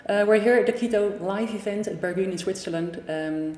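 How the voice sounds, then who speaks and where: A woman's voice: medium pitch, fairly quick, warm tone. A woman host with a Dutch accent, interviewing guests, hesitating often with fillers and restarts. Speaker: podcast host. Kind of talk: interview hosting. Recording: echoey conference room.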